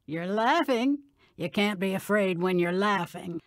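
A woman's voice: mid-range voice, expressive, measured pace, no echo, studio quality, lightly acted. A woman playing a playful, reassuring old grandmother in a radio drama, folksy and soothing. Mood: kindly, playful.

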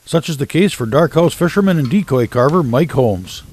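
A man doing scripted voice-over narration for an outdoors show, measured and even. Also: a little high, a little hiss or hum. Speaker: show host.

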